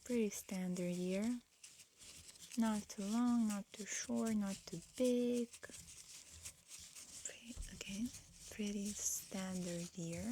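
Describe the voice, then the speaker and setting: A woman whispering a gentle, breathy ASMR roleplay as a medical examiner, murmuring unhurriedly with pauses. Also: mid-range voice, slight background noise.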